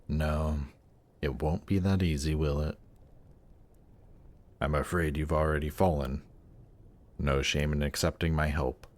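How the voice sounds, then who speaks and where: Man playing a very deep, suave demon prince. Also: steady tone, a little mellow. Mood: soft, coaxing. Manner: polished, courtly, condescending, measured. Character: suave, haughty demon prince ruling a circle of Hell. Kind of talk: scripted fantasy roleplay audio drama.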